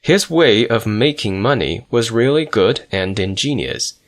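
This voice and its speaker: Low-voiced man narrating a memoir. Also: sing-song, measured pace. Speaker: audiobook narrator.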